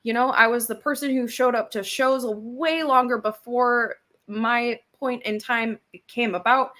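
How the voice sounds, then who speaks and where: A woman with a high, bright, forceful voice, talking as a co-host on a wrestling stream. She sounds upbeat and self-assured and speaks in a motivational way. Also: steady tone, measured pace. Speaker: ring announcer and co-host. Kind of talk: casual livestream chat.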